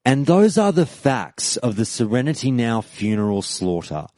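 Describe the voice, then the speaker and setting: A man's voice: medium pitch, big pitch swings, moderate pace, warm tone, no room sound. A man hosting a podcast and reading a scripted history segment with emphatic delivery and rising questions. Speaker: podcast host.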